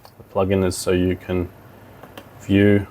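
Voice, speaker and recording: A man giving an informal software tutorial, thinking aloud as he instructs step by step. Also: sits low, completely flat delivery, slow. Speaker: technical demonstrator. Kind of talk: software tutorial walkthrough, talking while clicking.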